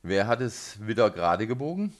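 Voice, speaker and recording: A man hosting a local sports radio interview, phrasing his questions in a halting, hesitant way. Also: on the low side, sing-song. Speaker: radio host interviewing a football coach.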